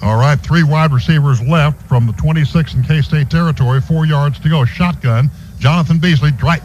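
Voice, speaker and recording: American man calling football, noisy broadcast. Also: fairly high pitch. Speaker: radio play-by-play announcer. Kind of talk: live American football radio commentary. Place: stadium radio booth. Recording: noisy stadium radio broadcast recording. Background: clear background noise.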